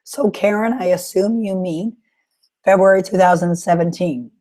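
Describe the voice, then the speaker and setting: A woman hosting a live webinar question-and-answer session with a sore throat, talking in an encouraging, coaching way with frequent pauses. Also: on the low side, mellow, rough recording. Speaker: webinar host and author coach.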